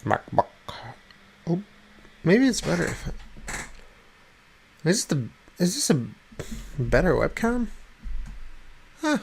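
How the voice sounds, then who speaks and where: A man's voice: sits high, big pitch swings, slow, creaky, long pauses, a little hiss or hum. A man whose first language is not English, ranting at his webcam in a rambling way, swearing often and restarting his sentences. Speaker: vlogger. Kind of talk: rambling personal rant. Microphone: webcam at desk.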